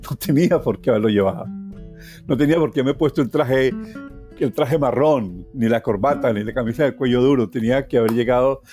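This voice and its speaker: A man's voice: medium pitch, fairly quick, mellow. A man in his sixties with a Colombian accent tells a personal story conversationally, repeating phrases for emphasis and tagging them with questions. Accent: Colombian Spanish. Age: 60s. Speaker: storytelling guest journalist. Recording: music behind the voice. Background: plucked guitar music.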